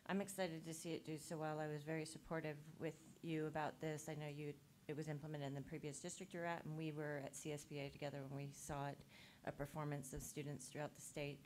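Low-voiced board member, faint noise. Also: female voice, flat delivery, fast talker, hardly any pauses, a little mellow. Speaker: school board trustee. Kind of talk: board meeting remarks.

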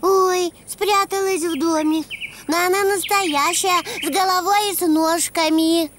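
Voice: steady tone, measured pace, background noise. An actor voicing a cheerful, eager little boy donkey with a childlike voice in a preschool cartoon. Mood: curious, excited. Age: young child character. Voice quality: high, childlike. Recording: music behind the voice.

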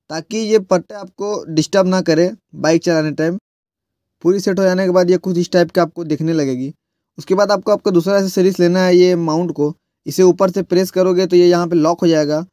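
A high-pitched man talks through a casual vlog tutorial, explaining each step in a chatty way. His voice is close and dry, caught by an earphone mic tucked inside his helmet. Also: a little mellow, no echo. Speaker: moto vlogger. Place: riding a motorcycle, then at a shop table.

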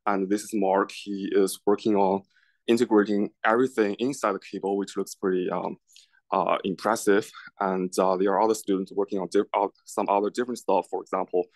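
A young man with a low voice shows visitors around a lab in an informal way. He speaks haltingly, often repeating words, and the sound is fairly dry. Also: no room sound. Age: young adult. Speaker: graduate student tour guide. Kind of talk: informal guided lab tour. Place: large open indoor lab.

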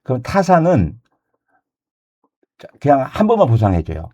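A man's voice: medium pitch, huge pitch swings, normal speed, a little mellow. A man in his sixties, an insurance advisor explaining coverage in an emphatic sales talk, often repeating key points and correcting himself mid-word. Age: 60s.

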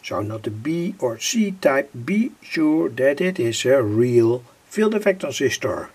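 Man, middle-aged, very slow deliberate delivery, husky and creaky, informal technical walkthrough. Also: medium pitch, big pitch swings, a little mellow. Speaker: electronics hobbyist presenter. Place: indoor home electronics workbench.

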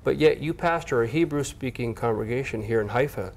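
A friendly, energetic man in his thirties hosts a casual on-location interview. His voice sits at a middle pitch, and he chats freely with easy laughs. It is recorded on a lapel mic in an indoor church hall. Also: brisk, creaky. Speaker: TV host interviewer.

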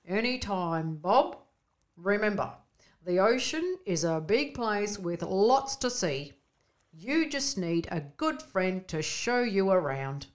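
Woman voicing a big, kindly whale taxi driver, slow. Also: medium pitch, sing-song. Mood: gentle.

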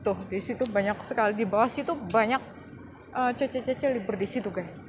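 A woman's voice: medium pitch, a little fast, a crowd in the background. A woman chatting casually while she walks through streets and a mall, recorded on a handheld phone. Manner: chatty, relaxed commentary while walking. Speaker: vlogger. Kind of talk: casual walking vlog. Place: outdoor streets and indoor shopping mall. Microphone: handheld phone camera. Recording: phone video with loud street noise. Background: street and mall ambience.

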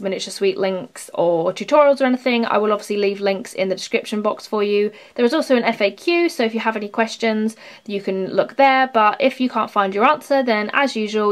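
Chatty woman, rambling craft vlog. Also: mid-range voice. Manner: chatty, rambling, many ums and likes. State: losing her voice, sore throat. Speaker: craft channel host. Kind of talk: casual rambling show-and-tell. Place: indoors at a craft table.

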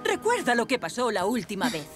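Una actriz que interpreta a una madre de pueblo severa y exigente en una serie de dibujos animados. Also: female voice, on the high side, huge pitch swings, a little fast. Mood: stern. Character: stern, demanding village mother. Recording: loud music behind.

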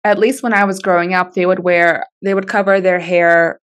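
A woman's voice: lowish voice, steady tone, normal speed. A young woman whose first language is Russian, earnestly telling her story as a guest on a video call interview.

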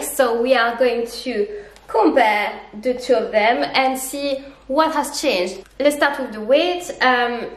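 A woman's voice: high-pitched, roomy sound. A young woman with a congested, stuffy voice from a cold, chatting upbeat to camera as she reviews running shoes at home. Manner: chatty, upbeat, explains step by step. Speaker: running vlogger. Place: living room at home, indoors.

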